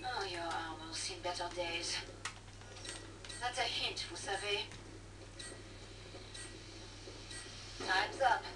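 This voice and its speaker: Woman acting a brisk game trader, echoey and distant. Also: fairly low pitch, lightly acted. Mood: teasing. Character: brisk, wry French-speaking woman trader guiding fighters. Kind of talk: video game voice lines. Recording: noisy, echoey game audio from TV speaker.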